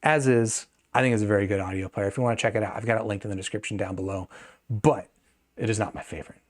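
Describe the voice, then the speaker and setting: A man's voice: on the low side, steady tone, fast talker. A man giving a casual, off-the-cuff product review in a conversational, opinionated way, adding asides as he goes. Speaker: audio gear reviewer and video host.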